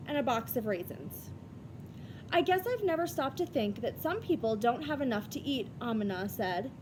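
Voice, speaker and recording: A woman teacher giving a read-aloud lesson for children, explaining things step by step in an instructional way. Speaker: elementary school reading teacher. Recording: rumbly background noise.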